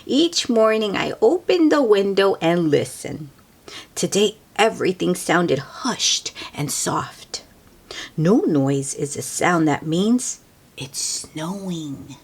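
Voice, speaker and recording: A woman voicing a kindly, patient old grandmother in a children's storybook, gently instructive. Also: lowish voice, sing-song, slow, breathy edge, full character voice. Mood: patient, gentle.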